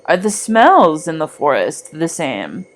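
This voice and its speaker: A woman leads a guided meditation at a very unhurried pace. Her voice sits somewhat low and moves widely in pitch as she gives gentle, deliberate instructions in a soothing manner. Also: sing-song. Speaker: meditation guide, art teacher. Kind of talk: guided meditation narration, scripted.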